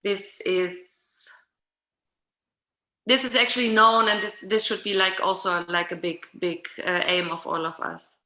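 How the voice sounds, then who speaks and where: A woman's voice: mid-range voice, fairly even intonation, unhurried, sharp tone. A woman hosting an unscripted discussion, searching hesitantly for her words. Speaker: host of an art talk session. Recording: echoey room.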